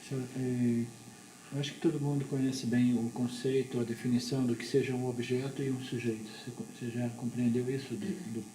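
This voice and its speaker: Mellow Brazilian man teaching in an echoey room. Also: mid-range voice, level tone, brisk. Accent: Brazilian Portuguese. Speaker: Vedanta teacher. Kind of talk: informal spiritual teaching to a small group. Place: room with a small group. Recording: echoey room with background noise.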